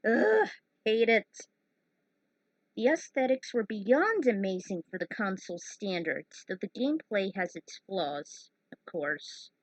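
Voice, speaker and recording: A woman's voice: mid-range voice, animated, a little slow, no echo, poor audio. A woman in her thirties giving casual, opinionated commentary on her own fan fiction as author and narrator. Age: 30s.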